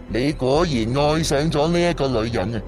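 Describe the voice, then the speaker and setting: A smug thief played by a man taunts in with a fairly high voice. The villain sneers theatrically in radio-drama style, with music underneath. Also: animated, normal speed, darkish tone, natural voice. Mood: gloating menace. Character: smug, mocking master thief in disguise, taunting captives.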